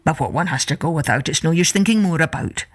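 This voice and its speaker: Storybook tramp, male, crafty and persuasive, fond of proverbs, very varied pitch; woman narrator acts him out. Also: expressive, fast, breathy edge, full character voice. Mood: grandly persuasive. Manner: musing, coaxing, sententious. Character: wily male tramp, smooth-talking sly trickster. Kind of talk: children's folktale storytelling.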